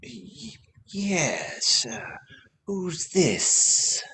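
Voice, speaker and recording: An actor playing a quick-witted, take-charge private detective in a radio play. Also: male voice, high-pitched, huge pitch swings, creaky, sharp tone, slight background noise, exaggerated voice. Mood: guarded, bluffing.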